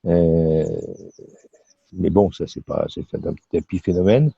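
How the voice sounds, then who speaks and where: A man's voice: deep voice, measured pace, gravelly, warm tone, husky, no echo. An older retired man, a guest joining an interview remotely, speaking in a light-hearted, wry way. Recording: dull lo-fi call audio, dry.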